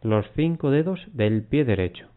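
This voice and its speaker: A man guiding a mindfulness body scan, giving unhurried instructions in short phrases with long pauses. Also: medium pitch, animated. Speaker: meditation guide. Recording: muffled, phone-like narrow band.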